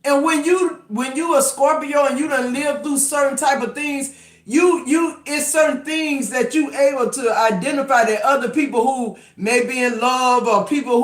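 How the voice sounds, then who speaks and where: 50s guy doing a tarot reading, real high voice, cussing and rambling, roomy echo. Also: normal speed. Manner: emphatic, profane, rambling with restarts. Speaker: tarot reader. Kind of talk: casual tarot reading to camera. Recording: echoey room.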